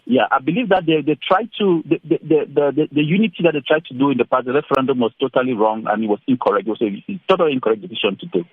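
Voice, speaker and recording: A man from Cameroon calling a radio show to give his opinion, with halting, repetitive phrasing over the phone. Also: medium pitch, breathy edge. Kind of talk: opinion given live on air. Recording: tinny phone line, dry.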